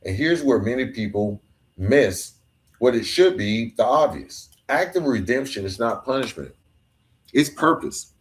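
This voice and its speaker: A man's voice: mid-range voice, expressive, unhurried. A man hosting a podcast, delivering an emphatic motivational monologue with a repetitive preaching cadence. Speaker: podcast host.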